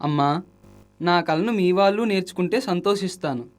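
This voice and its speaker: Man playing humbled, high-voiced repentant gambler. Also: fairly quick, lightly acted. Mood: solemn resignation. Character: humbled, resigned former gambler turning to monkhood. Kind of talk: Indian radio drama acting in classical play.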